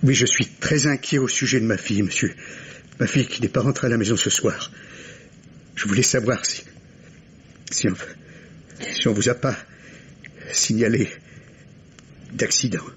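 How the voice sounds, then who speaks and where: An actor playing an anxious middle-aged professor and worried father in an old radio mystery play, halting and repeating his words. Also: mid-range voice, a bit bright, close to an everyday voice. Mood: anxious, agitated. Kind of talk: old French radio drama acting. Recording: dull lo-fi vintage radio, clear hiss.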